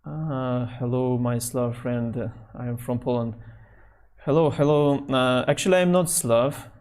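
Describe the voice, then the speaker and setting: A man talks slowly through a chess game in English. He thinks out loud, often pausing mid-phrase. Soft mouse clicks can be heard behind him. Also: mid-range voice, clear, resonant tone. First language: Nogai. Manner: halting non-native English, thinking out loud. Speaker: chess streamer. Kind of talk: thinking aloud while playing online chess.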